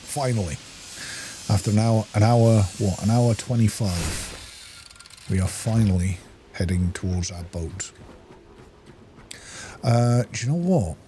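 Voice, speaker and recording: Low-voiced man over noisy background. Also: slow, long pauses, dark tone. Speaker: gameplay streamer. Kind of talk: casual video game playthrough commentary.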